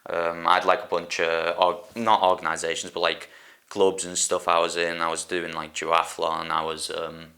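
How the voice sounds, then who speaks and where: A man's voice: low-pitched, completely flat delivery, moderate pace, bright, forceful tone. A young man from Hungary whose first language is Hungarian, talking in a casual documentary interview, hesitant and searching for words with lots of likes and ums. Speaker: band drummer.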